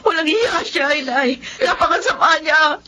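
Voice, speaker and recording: An actress playing a proud, wronged young woman food seller in a Filipino radio drama, expressive and melodramatic. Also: high-pitched, normal speed. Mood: sobbing, anguished.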